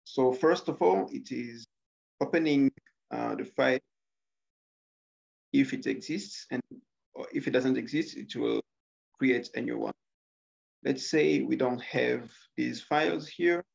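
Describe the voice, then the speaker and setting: A young man talks through his coding project on a video call. He speaks very slowly, pausing on filler sounds, and his voice is strongly gravelly. The audio is a little dull and lo-fi. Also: mid-range voice, darkish tone. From Rwanda. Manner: hesitant, many filler sounds. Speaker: student presenting a coding project. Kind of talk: screen-share software demo.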